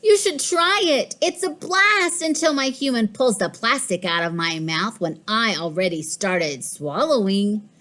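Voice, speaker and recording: A woman's voice: fairly high pitch, expressive, unhurried, few pauses, fairly bright tone. A woman voicing a smug, mischievous pet cat in a storybook, with a boastful, emphatic delivery. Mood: smug, playful. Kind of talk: storybook read-aloud.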